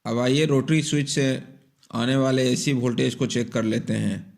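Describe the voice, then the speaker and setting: A man's voice: medium pitch, steady tone, moderate pace, vocal fry. Methodical man narrating a repair tutorial. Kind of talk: step-by-step instructional narration.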